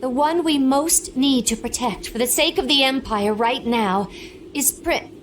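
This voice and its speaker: A stern, dutiful young lady knight in a game. Her high voice is formal and earnest, with faint ambient noise. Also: measured pace. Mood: urgent concern. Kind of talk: dramatic video game voice acting.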